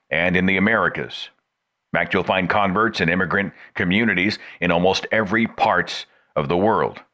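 A man hosting a talk podcast, reading a scripted introduction and then interviewing a caller with emphatic, expressive delivery. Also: low-pitched. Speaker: podcast host.

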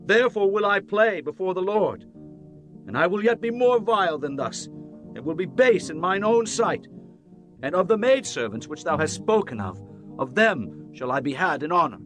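A man's voice: high voice, very animated, moderate pace, fairly bright tone, soft background music. An actor playing a defiant, devout king in a dramatized Bible reading, emphatic and declamatory. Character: defiant, devout king answering his wife. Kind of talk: dramatized Bible audio acting.

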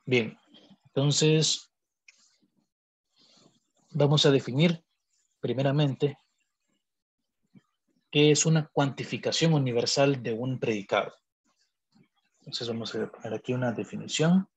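Husky-voiced man calmly teaching math. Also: medium pitch, lo-fi sound. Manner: measured, deliberate, thinks aloud with pauses and self-corrections. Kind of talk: explaining logic notation on a digital whiteboard.

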